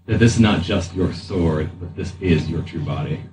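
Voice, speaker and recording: A man improvising narration as game master of a tabletop game, halting and deliberate. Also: deep voice. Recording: roomy, distant mic, faint noise.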